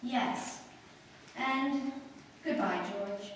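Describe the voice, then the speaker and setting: A woman's voice: a little high, very slow, slight background noise. A woman acting a proud, flustered upper-class bride in a theatrical, exclamatory 1940s radio drama style. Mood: resigned. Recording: echoey hall, distant mics.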